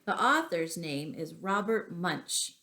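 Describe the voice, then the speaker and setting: A woman teacher reading poems and picture books aloud to young children, as an expressive, deliberate storyteller. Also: fairly low pitch.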